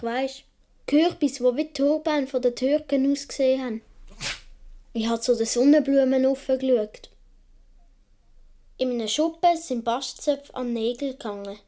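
A woman's voice: high voice, warm tone. A woman with a Swiss accent playing an imaginative boy of seven or eight in a radio play, telling things in an earnest, dreamy way. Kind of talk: radio play monologue, childlike storytelling.

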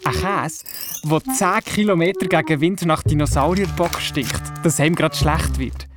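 A performer playing an excitable young boy who retells his dream vividly and naively in a children's radio play. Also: medium pitch, natural voice. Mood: mischievous. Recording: music behind the voice. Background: music.

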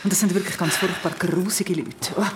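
A woman's voice: on the low side, fairly even intonation, brisk, background noise, close to an everyday voice. A woman acting an anxious young woman in a gothic audio drama, with music behind her. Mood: anxious, insistent.

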